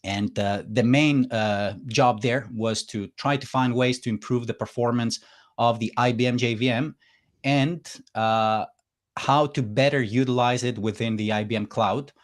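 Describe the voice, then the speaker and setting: A man from Greece speaking as a guest in a podcast interview. He talks at a relaxed pace, hesitating often with "uh" and the odd soft laugh. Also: medium pitch, unhurried. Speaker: guest engineer. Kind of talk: casual interview chat.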